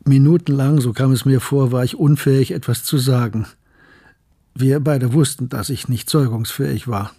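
Sombre, dark-voiced male narrator. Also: medium pitch, steady tone, normal speed, husky. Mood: sombre, tense. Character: grave male scientist recalling wartime tragedy as narrator. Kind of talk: scripted radio drama narration.

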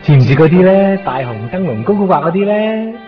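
A man's voice: high voice, animated, a little fast, far from the mic. A man playing a tender, teasing young boyfriend in a radio drama, with casual, coaxing talk. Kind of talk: Cantonese radio drama acting. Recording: muffled 1990s radio recording, music behind.

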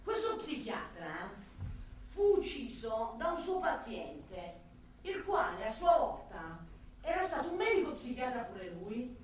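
An actress performing a radio drama monologue as a weary, anxious, brooding and tender-hearted police officer. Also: female voice, on the high side, big pitch swings, measured pace, distant mic, slight background noise. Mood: indignant sorrow. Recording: very echoey room, off the mic, music behind.

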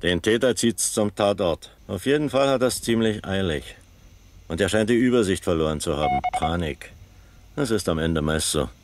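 An actor playing a calm, measured police captain in a German radio crime drama, with dry irony. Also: male voice, sits low, a little hiss or hum. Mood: dry, composed. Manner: measured, dryly ironic.

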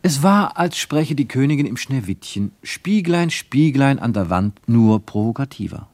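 Guy narrating a literary radio play, expressive swingy delivery, deliberate, dry studio sound. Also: mid-range voice, no room sound.

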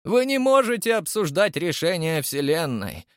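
A man's voice: fairly high pitch, animated, measured pace, fairly bright tone, no room sound, studio quality, voice pushed up. A man voicing a stern woman elder who speaks formally and solemnly, persuading her listener of destiny.

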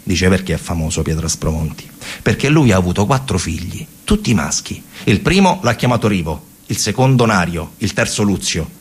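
A man's voice: sits low, expressive, brisk, husky. A man delivering a theatrical narrative monologue for radio, conversational, repeating phrases for emphasis and acting out quotes. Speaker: stage storyteller and actor.

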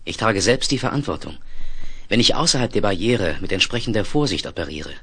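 A man's voice: on the low side, very animated, fairly quick, a bit bright, slightly rough, exaggerated voice. A man in a 1970s German radio play, acting a daring young reporter on a hostile planet, confident and articulate. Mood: confident, dry. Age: young adult. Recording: noisy vintage radio play with vehicle ambience.

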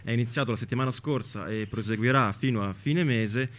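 A man's voice: medium pitch, brisk. A man presenting and interviewing live as a radio host.

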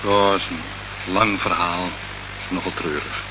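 A man's voice: low-pitched, steady tone, measured pace. An actor playing a resigned, friendly man in a radio play, talking informally as to an old friend. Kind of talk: Dutch radio play acting. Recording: dull, noisy old radio play recording.

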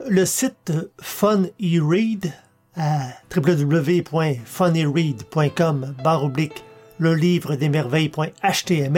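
A man's voice: a little high, darkish tone. A man hosting a podcast and reading stories aloud in scripted narration. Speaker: podcast host and audiobook reader.

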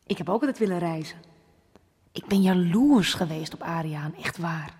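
A woman's voice: on the low side, normal speed, darkish tone, breathy edge, big-room sound. An actress playing the adult Tijne, a reflective, well-traveled woman, in a radio drama.

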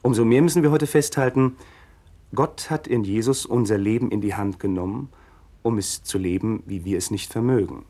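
Husky, low-voiced, patient religion teacher. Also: male voice, warm tone. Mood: earnest, solemn. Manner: measured, explanatory. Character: patient, learned male religion teacher, gently didactic. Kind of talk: German radio play dialogue, didactic.

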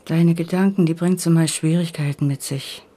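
A woman's voice: sits low, steady tone, brisk, mellow. A woman playing a resigned, severe Saxon peasant mother in a German radio play, speaking curtly. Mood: stern, resigned.

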